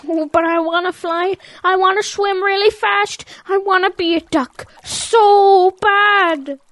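A woman's voice: very high-pitched, steady tone, slow, a bit bright, no echo, heavily acted. A woman voicing a naive, eager young boy calf in an audio drama, childlike and pleading.

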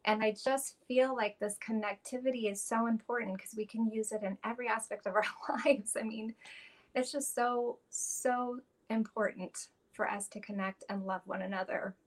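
A woman actor talking earnestly and warmly in an interview, often restarting her sentences. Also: fairly high pitch, steady tone, normal speed, rough recording. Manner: earnest, heartfelt, with frequent restarts and fillers. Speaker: actress guest. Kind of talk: casual interview talk.

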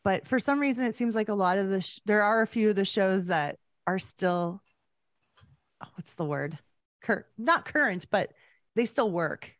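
A woman's voice: medium pitch, normal speed. A woman with a Canadian accent hosting a podcast, chatting casually and conversationally with a guest. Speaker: podcast host. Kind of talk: casual interview chat.

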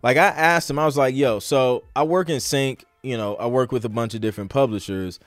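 A man's voice: mid-range voice, fairly quick, no room sound. A man hosting a podcast, talking in an informal, slangy, conversational way as in a live Q&A. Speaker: podcast host. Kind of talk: casual live Q&A chat.

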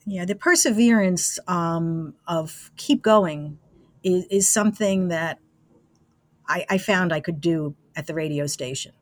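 A woman's voice: fairly low pitch, a little slow, no room sound. Older American woman reminiscing, dry close sound. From Brooklyn, New York, USA. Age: 60s. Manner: easygoing, chatty, anecdotal. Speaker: interview guest, former college radio engineer. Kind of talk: casual reminiscing.

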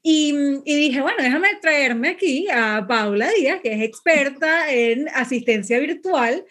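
A woman's voice: sits high, animated, a little slow, a bit bright. A woman hosting a podcast, effusive and chatty, laughing often as she talks with her guest. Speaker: podcast host. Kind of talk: casual podcast interview.

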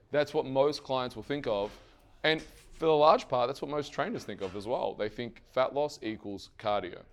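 A man losing his voice gives a lively fitness seminar to trainers and keeps asking the room questions. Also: mid-range voice. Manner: energetic, asks the audience questions and answers them himself; swears casually. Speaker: fitness coach presenting to trainers. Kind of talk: live seminar presentation.

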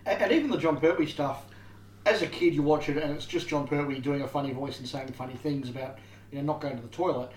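Man, podcast host, leads the chat, slightly high voice, echoey room. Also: fast. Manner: leads the talk, asks each guest in turn. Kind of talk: casual chat. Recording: echoey room, faint background noise.

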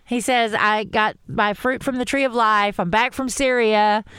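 A woman co-hosts a casual fan podcast, telling stories with lively, rising exclamations. Her voice is smooth and clear, recorded dry and close with no room sound. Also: fairly high pitch, a little slow, no room sound. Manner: chatty, animated storytelling with rising exclamations. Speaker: podcast co-host. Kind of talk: casual fan discussion chat.

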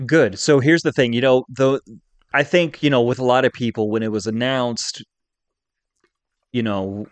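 A male podcast co-host talks in a middle-pitched voice. He is chatty and conversational, rambling casually from one point to the next. The sound is close and dry, with very little room in it. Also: steady tone, normal speed, pauses often, no room sound.

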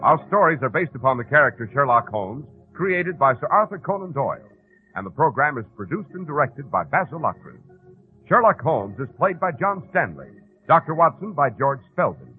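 American radio announcer, lively vintage delivery. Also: male voice, on the high side, normal speed. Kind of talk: scripted 1940s radio announcing. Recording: muffled old radio broadcast with organ music. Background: organ music.

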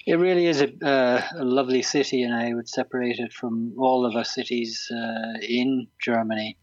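A man's voice: medium pitch, steady tone, moderate pace, dry recording, muffled recording. A man in his sixties with an Irish accent, an interview guest talking casually with many ums and restarts. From Ireland. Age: 60s. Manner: hesitant, lots of ums and restarts.

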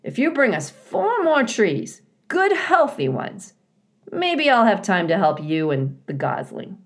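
A woman voicing a folksy, bossy but kindly male beaver builder in a children's storybook, chatty and gruff in manner. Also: medium pitch, sing-song, takes it slowly, pitched above the performer's own voice. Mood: bargaining.

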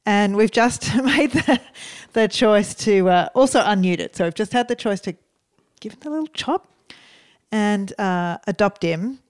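A woman's voice: mid-range voice, expressive, a little slow, clean tone, dry recording, studio quality. A woman co-hosting a podcast from home, chatting in an upbeat way and laughing easily. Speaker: podcast co-host. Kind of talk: casual podcast chat. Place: lounge room at home.

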